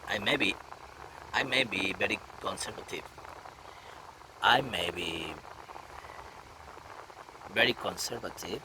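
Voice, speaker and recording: A man practicing English lines out loud while painting, repeating phrases and translating them to Spanish. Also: lowish voice, takes it slowly, long pauses. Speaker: language learner drawing while practicing. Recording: noisy home recording.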